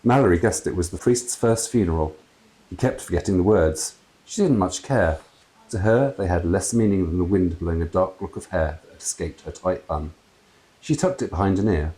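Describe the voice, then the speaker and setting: A man in his fifties reading a children's story aloud in an expressive storytelling style. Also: deep voice, normal speed, rough recording. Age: 50s. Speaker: author reading own book aloud. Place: small room with wooden door and brick wall. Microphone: clip-on lapel mic.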